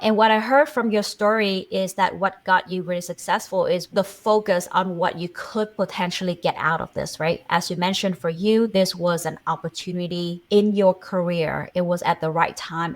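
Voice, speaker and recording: A woman's voice: fairly low pitch, normal speed, hardly any pauses. A woman from Vietnam hosting a podcast interview, introducing her guest with upbeat energy and asking questions. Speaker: podcast host.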